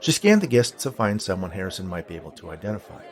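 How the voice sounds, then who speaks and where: A man's voice: deep voice, very animated, fast talker, a faint crowd. A man reading a scripted short story aloud as its narrator.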